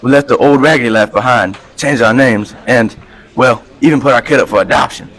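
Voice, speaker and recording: A man's voice: medium pitch, a little fast, fairly bright tone, lightly acted. Man acting a slangy reverend with a hoodlum past. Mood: confiding. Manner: street slang mixed with folksy storytelling. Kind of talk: amateur radio play acting.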